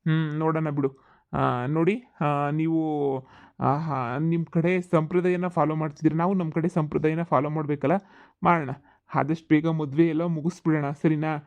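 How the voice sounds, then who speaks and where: A woman playing a male swindler who poses as a Chinese groom and talks smooth and evasive. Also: low voice, steady tone, moderate pace, darkish tone. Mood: scheming. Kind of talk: comedy sketch acting.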